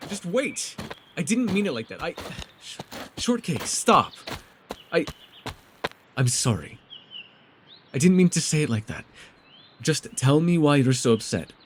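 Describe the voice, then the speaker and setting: A man playing a reclusive, centuries-old elf in a fantasy audio roleplay, guarded and awkward, with an earnest, halting delivery. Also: on the high side, slight background noise. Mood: flustered, apologetic.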